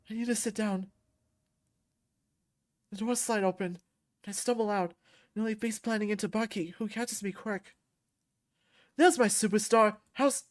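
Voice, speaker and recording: A woman reading a visual novel aloud and voicing every character herself. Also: fairly high pitch. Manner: shifts into loud outbursts for angry lines.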